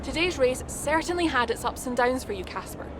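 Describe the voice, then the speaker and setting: High-voiced pit-lane TV reporter. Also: female voice, expressive, a little fast, noisy background. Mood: probing. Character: brisk, probing female TV pit-lane reporter. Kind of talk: interview in video game cutscene.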